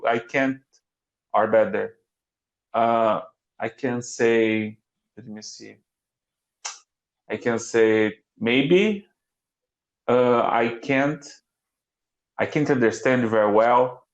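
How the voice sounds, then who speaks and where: A man's voice: medium pitch, lo-fi sound. A man from Brazil with a Brazilian Portuguese accent, speaking English as a learner on a video call and often stopping to search for words. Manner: halting, searching for words, many restarts. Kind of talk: casual online lesson chat. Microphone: computer mic on a video call.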